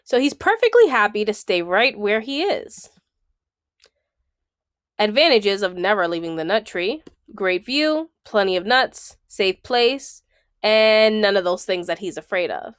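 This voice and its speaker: A woman's voice: medium pitch, animated, a little slow, a bit bright, no echo, clean studio sound. A woman reading a children's book aloud, enunciating item by item and adding explanatory asides.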